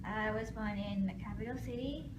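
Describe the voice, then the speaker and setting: A woman's voice: medium pitch, moderate pace. A woman in her twenties from Thailand with a Thai accent, answering interview questions hesitantly while searching for words. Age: 20s. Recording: echoey room with background noise.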